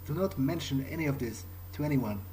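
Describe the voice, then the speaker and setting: A man improvising in character during a game playthrough, speaking in a halting way and repeating words. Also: mid-range voice, expressive, dark tone, low-quality recording. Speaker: gamer roleplaying his character. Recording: faint background noise.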